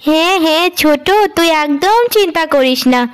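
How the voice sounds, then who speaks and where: A woman's voice: sits high, moderate pace, lightly acted. A woman voicing a scheming elder sister in a cartoon moral tale, coaxing and falsely sweet. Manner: coaxing, sugary.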